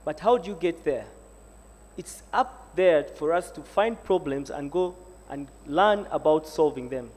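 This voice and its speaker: A man's voice: fairly high pitch, unhurried, darkish tone. A man giving a rousing, exhortative panel speech at a university symposium, building on repeated calls. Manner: exhortative, repeats "Africa needs an African". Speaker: panel speaker. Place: large university hall. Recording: echoey hall reverb.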